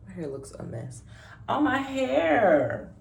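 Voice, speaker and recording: A woman chatting casually to her camera at home, sleepy and soft-spoken, rambling and correcting herself as she goes. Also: huge pitch swings, takes it slowly, vocal fry. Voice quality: deep voice. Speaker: vlogger. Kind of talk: casual chat. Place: her apartment, indoors.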